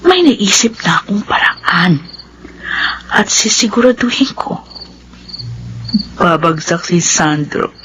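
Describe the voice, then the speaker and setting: A young Filipino woman, scheming behind a coy, sweet front. She draws out her phrases and slips into breathy plotting asides in a radio drama, with faint ambient noise behind her. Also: lowish voice, lively intonation, slow, long pauses, fairly bright tone.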